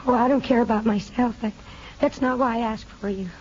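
An actress playing a distraught, pleading young wife and mother in 1950s American radio drama, speaking emotionally and haltingly. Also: a little high, darkish tone, slight background noise, natural voice. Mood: bitter, anguished. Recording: dull old radio broadcast recording.

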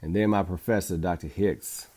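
A man's voice: low voice, fairly even intonation, moderate pace, darkish tone. A middle-aged man hosting a podcast, speaking in an earnest, encouraging way in a reflective motivational monologue. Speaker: podcast host.

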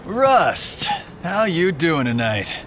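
A pushy, righteous street detective in a sci-fi radio play. His voice is sharp and very animated, always needling and challenging. A faint rumble of traffic runs behind him. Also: slow pace, heavily acted. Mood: needling, suspicious. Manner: confrontational, needling, self-righteous. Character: pushy, zealous male street cop, suspicious detective. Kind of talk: audio drama acting.

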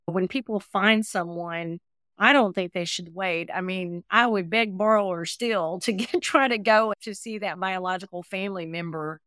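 A woman's voice: medium pitch, moderate pace, a bit bright, clear, resonant tone, no room sound, clean studio sound. A woman in her sixties telling personal stories as an interview guest, earnest and talkative. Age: 60s. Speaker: interview guest, adoptee advocate. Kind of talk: conversational personal storytelling.

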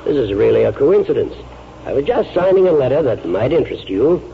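A man acting a stern, self-righteous man in his sixties in a 1940s radio drama, with creaky, formal delivery. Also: medium pitch, big pitch swings, fairly quick. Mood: brisk, proud. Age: 60s. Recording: muffled 1940s radio, faint noise.

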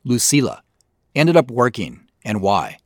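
A man's voice: mid-range voice, wildly swooping pitch, measured pace. A man giving explanatory director's commentary as the creator of a show.

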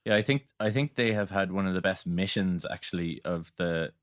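A man's voice: low-pitched, moderate pace, fairly bright tone. A man speaking as a podcast guest in a casual tech discussion, thoughtful and hesitant in his phrasing. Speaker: podcast guest, product design director.

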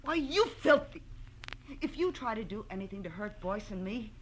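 A woman's voice: mid-range voice, very animated, normal speed, close to an everyday voice. A woman playing a hot-tempered, threatening woman in a 1940s radio drama. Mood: furious. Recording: noisy old radio recording.

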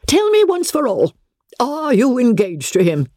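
A woman's voice: fairly high pitch, huge pitch swings, a little slow, warm tone, dry recording, clean studio sound, pitched above the performer's own voice, heavily acted. A woman reading a classic novel aloud, voicing an imperious, indignant older aristocratic lady with pompous, emphatic delivery. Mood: scornful, demanding. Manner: pompous, declamatory, emphatic, formal. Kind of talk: dramatic audiobook reading of classic literature.

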